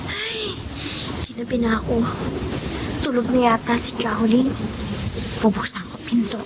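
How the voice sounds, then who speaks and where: A timid young maiden in a radio drama. She is polite, with a high voice that rises and falls sharply, heard through dull sound. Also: sing-song, measured pace, very noisy. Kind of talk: Filipino radio drama acting.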